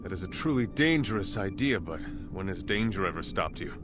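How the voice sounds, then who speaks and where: An actor playing a dignified, earnest leader in a video game, speaking formally and in measured phrases. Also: male voice, sits low, animated, noisy background. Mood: grave, concerned. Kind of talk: video game cutscene acting. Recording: loud music over the voice.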